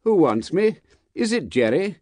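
A mild, polite gentleman of business from a storybook, voiced by a male narrator. His raised voice quavers slightly and sounds anxious, captured close and dry. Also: a little high, very animated, a little slow, no echo, pitched above the performer's own voice. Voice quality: mildly quavering. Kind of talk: dramatised audiobook character.

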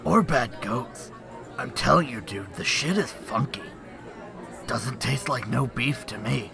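Blunt, slangy teenage slacker boy played by a man, unhurried and fry-heavy, game dub. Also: medium pitch, crowd noise. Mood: wary. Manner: slangy, drawn-out. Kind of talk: game fandub acting. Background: guitar music.

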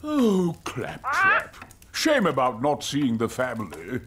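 Eccentric old uncle, wildly swooping. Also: male voice, mid-range voice, huge pitch swings, takes it slowly, heavy background noise. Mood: puzzled. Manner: exclaiming, muttering, eccentric. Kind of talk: children's cartoon voice acting.

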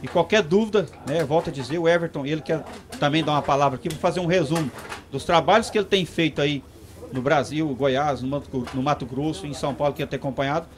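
A man in his thirties, a company representative, speaking informally into a handheld mic under an outdoor tent, explaining and checking in with his listeners. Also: fairly high pitch. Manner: fast, explanatory, many tag questions. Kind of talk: informal talk to farmers.

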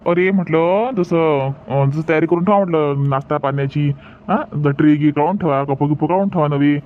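A man voicing a hesitant cartoon village husband giving household orders, halting and repetitive. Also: a little high, lightly acted. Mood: instructing. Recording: dull lo-fi sound, faint noise.

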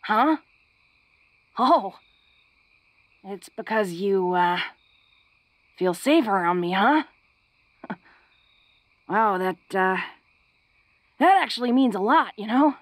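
A woman playing a big, tough young orc who is secretly awkward and tender, speaking haltingly and intimately to the listener in a romantic audio roleplay. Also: medium pitch, lively intonation, dry recording. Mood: tender, bashful. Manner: bashful, halting, breaking into soft chuckles. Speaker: voice actor.

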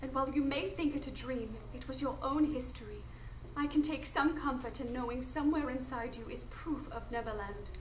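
A woman's voice: high voice, fairly quick, natural voice. A woman playing an earnest, idealistic and insistent woman in a live radio drama, speaking formally and emphatically. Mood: excited, urgent. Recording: distant echoey live stage, noisy.

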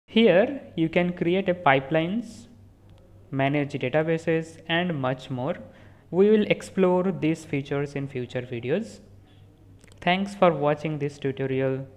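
Steady tutorial voice. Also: medium pitch, slow pace. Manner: steady step-by-step instructions with small self-corrections. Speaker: tutorial instructor. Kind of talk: screen-recorded software walkthrough voice-over. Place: voice-over recorded apart from the screen capture.

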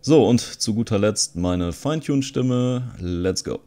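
A man talks in a low voice. He presents like an online video host walking through software on screen. His delivery is casual and conversational, explaining as he goes. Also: expressive, a little slow. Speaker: video host, content creator. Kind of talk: casual screen-recording tutorial commentary.

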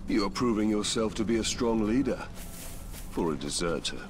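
An actor playing a grim, solemn ranger hero in a video game, speaking in a grave, formal, measured way. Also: male voice, fairly low pitch, lively intonation, background noise, close to an everyday voice. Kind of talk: video game character dialogue.

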